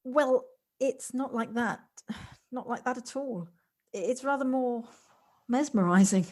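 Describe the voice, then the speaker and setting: A woman's voice: a little high, measured pace, darkish tone. A woman acting in an audio play as an anxious, polite newcomer to the countryside, speaking in hesitant, formal, wordy sentences. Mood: fond, hesitant. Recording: home recording on personal device, noisy.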